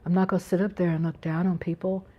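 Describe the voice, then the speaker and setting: A woman's voice: lowish voice, flat delivery, fast talker, muffled recording. An American woman hosting a casual live-stream chat from home, talking in an earnest, rambling way with frequent restarts. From United States. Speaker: channel host.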